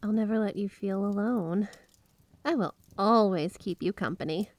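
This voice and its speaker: A woman playing a flirty, obsessively devoted yandere wife in an intimate ASMR roleplay, gushing straight to the listener with drawn-out emphasis. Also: mid-range voice, darkish tone, lightly acted. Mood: fond, tender. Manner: gushing, breathy giggles, drawn-out emphasis on words.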